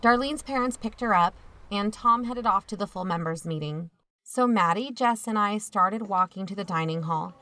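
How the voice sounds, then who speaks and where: An actress playing a watchful, protective aunt in a first-person audio drama, conversational and wry. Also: female voice, mid-range voice, moderate pace, a bit bright, lightly acted, poor audio. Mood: uneasy narration. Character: watchful, protective aunt narrating her own mystery.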